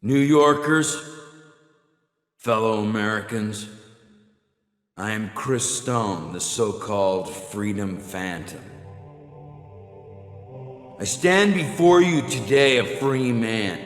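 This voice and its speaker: An actor playing an earnest American freedom-fighter leader in a video game, giving a measured, solemn rallying speech with reverb. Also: male voice, mid-range voice, fairly bright tone. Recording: echoing reverb, music behind.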